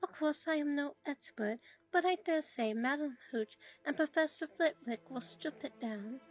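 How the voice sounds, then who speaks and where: A woman's voice: high-pitched, moderate pace, lightly acted, low-quality recording. A woman acting a stern older schoolteacher in an audio drama, clipped, formal and no-nonsense.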